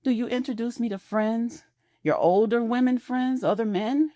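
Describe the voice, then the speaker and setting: A woman's voice: a little high, normal speed, warm tone, no echo, voice pushed up, close to an everyday voice. A woman voicing a hurt wife in her fifties who speaks wounded and pointed, recorded close and dry. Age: 50s. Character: hurt middle-aged wife, wounded and direct.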